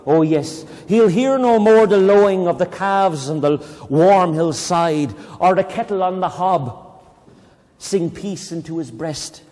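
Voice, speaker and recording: An Irish man reading a poem aloud to children, reciting slowly and dramatically and breaking off into playful, chatty explanations. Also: high voice, a little mellow. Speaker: poetry reader leading children. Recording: long reverb in a hall.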